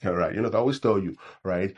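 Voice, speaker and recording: Low husky Nigerian male pastor. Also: fast talker, darkish tone, dull audio. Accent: Nigerian English. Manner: conversational preaching, frequent "right?" check-ins; acts out sample prayers in a mocking tone. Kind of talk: sermon.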